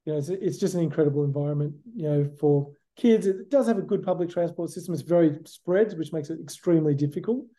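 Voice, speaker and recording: A man's voice: a little high, fast talker, few pauses, warm tone. A middle-aged Australian man answering interview questions in a reflective, conversational way, often saying "you know" and "look". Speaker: guest.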